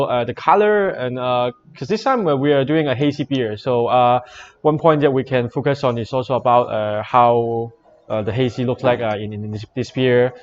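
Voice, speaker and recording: A man explaining beer tasting casually on a livestream, in halting, hesitant phrases. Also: mid-range voice. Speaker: brewery packaging supervisor co-hosting a tasting. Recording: muffled livestream audio with faint music. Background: faint background music.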